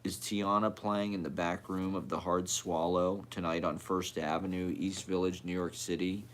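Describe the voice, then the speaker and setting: A man's voice: sits low, little pitch movement, moderate pace. A man reading poetry aloud as a poet, in short clipped fragments delivered one after another.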